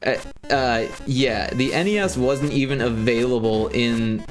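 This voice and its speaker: A man's voice: medium pitch, unhurried, over music. A man in his forties, a game developer chatting casually on a livestream, rambling with lots of fillers and restarts. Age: 40s.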